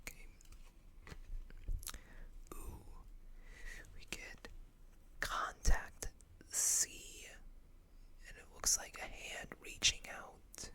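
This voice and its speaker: An ASMR host whispering in an unhurried way, reading text aloud and adding small asides. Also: pushed and bright. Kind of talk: whispered ASMR card showcase.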